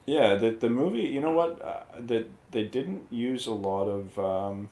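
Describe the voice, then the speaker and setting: A man's voice: mid-range voice, lively intonation, a little slow, mellow. A man chatting casually as a guest on a movie review show, colloquial and irreverent, often joking.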